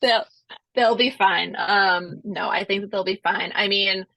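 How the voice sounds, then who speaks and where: A woman's voice: mid-range voice, fairly even intonation, a little slow, gravelly, bright, forceful tone, no room sound, low-quality recording. A woman with a Rhode Island accent hosting a video-call webinar, answering questions in a chatty, reassuring way. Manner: friendly, chatty, reassuring, many fillers. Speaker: webinar host, conference organizer. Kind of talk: casual chat, answering questions.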